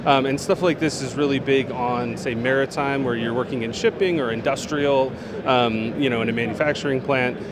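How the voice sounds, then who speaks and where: A man who works as a product manager, answering interview questions on a trade show floor in a relaxed way, with plenty of fillers and restarts. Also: mid-range voice, normal speed, background noise.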